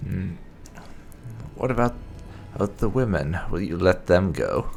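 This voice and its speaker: A man's voice: sits low, lively intonation, measured pace, vocal fry, background noise. A man giving casual, dryly wry commentary while playing a roleplaying video game. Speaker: gaming channel host. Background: game music.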